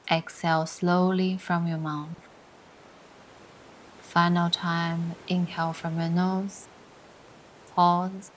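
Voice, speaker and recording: A woman leading a scripted guided meditation in a soothing, deliberate way, pausing between short phrases. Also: on the low side, slight background noise, rough recording. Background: music.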